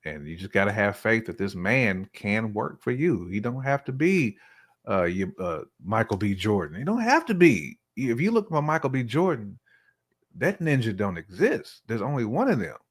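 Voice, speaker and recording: Low male voice, casual podcast co-host, colloquial, repeats points for emphasis. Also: sing-song, normal speed. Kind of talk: casual relationship podcast conversation.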